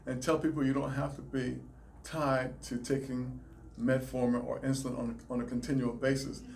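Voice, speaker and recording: A man with a Southern US accent, a chiropractor, answering interview questions in a measured, explanatory way. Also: medium pitch, flat delivery, creaky, darkish tone, slight background noise. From Alabama, raised in South Carolina. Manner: measured, explanatory, some restarts. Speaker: chiropractor, guest.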